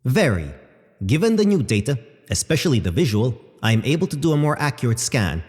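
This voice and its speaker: A man's voice: mid-range voice, sing-song, a little mellow, natural voice. Sci-fi audio drama robot, male actor: formal, analytical and unruffled, with swooping intonation, set in long reverb. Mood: clinical, measured. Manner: formal, measured, explanatory. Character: calm analytical male robot companion, precise and unhurried. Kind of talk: scripted audio drama acting.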